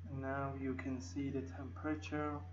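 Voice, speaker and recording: A man with a non-native English accent narrates an unscripted screen-recorded software tutorial in halting, hesitant phrases. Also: mid-range voice, little pitch movement. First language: not English. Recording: dull lo-fi mic, faint background noise.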